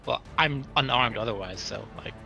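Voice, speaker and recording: A man playing a tabletop roleplaying game, talking casually, thinking aloud in halting bursts and asking questions. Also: mid-range voice, creaky, pushed and bright, muffled recording. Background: music.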